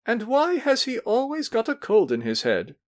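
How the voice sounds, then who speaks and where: A man's voice: very high-pitched, huge pitch swings, normal speed, voice pushed up, heavily acted. A man voicing a fussy older mother who drawls in a sing-song way. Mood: fussing.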